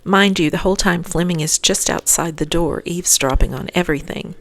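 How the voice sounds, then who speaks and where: A woman's voice: on the low side, gravelly, a bit bright. A woman narrating a scripted episode recap as host, adding chatty asides to listeners.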